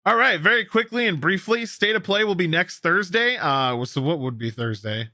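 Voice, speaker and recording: A man's voice: sits high, expressive, normal speed, sharp tone, no echo, studio quality. A man co-hosting a gaming news show, talking casually and emphatically, repeating himself for effect and swearing freely. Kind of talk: casual news commentary with co-host.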